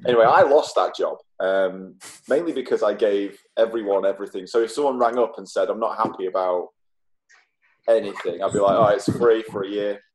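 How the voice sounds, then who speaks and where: A man's voice: lowish voice, brisk, rough recording. A British man in his thirties chatting casually in a rambling, sweary way. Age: 30s. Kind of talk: casual chat.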